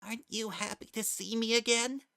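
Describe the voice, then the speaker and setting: A man voicing a lost, melancholic young spirit with a soft, hesitant delivery in a storybook reading. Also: very high-pitched, steady tone, normal speed, no room sound, studio quality, pitched above the performer's own voice, exaggerated voice. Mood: quiet, yearning. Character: lost, sentimental young spirit, wistful and confused.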